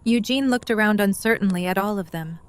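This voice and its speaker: A woman's voice: mid-range voice, fairly quick, smooth. A woman reading scripted third-person narration for an audio drama.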